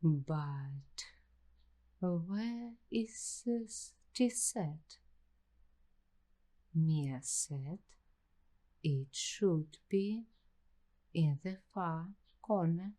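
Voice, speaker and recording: Woman playing young waitress, ASMR roleplay, uneasy and curious, soft breathy delivery, dark mellow tone, very slow halting phrases. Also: fairly low pitch, lively intonation, full character voice. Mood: puzzled. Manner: halting, short phrases with long pauses. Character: nervous, curious young waitress exploring a creepy attic.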